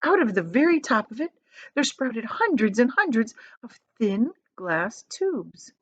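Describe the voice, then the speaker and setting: A woman reading a children's book aloud as an expressive storyteller. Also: on the high side, unhurried, highs cut off.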